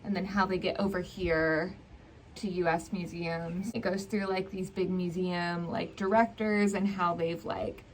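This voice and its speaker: A woman's voice: fairly low pitch, steady tone, a little slow, low-quality recording. A young woman in casual book-vlog chat, speaking in an informal, hedging, conversational way. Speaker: book vlogger. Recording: clear background noise.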